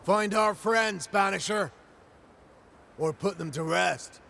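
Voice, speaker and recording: An actor playing a wry, dutiful fort guard in a video game, blunt and folksy with a gravelly voice. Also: male voice, high-pitched, slow pace, pushed and bright, slight background noise, heavily acted. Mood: grave, bargaining. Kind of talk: video game cutscene acting.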